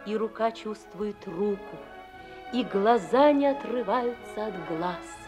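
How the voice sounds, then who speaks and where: A woman's voice: medium pitch, slow pace, a little mellow, close to an everyday voice. A woman narrating a radio play in a lyrical, solemn manner. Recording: music behind.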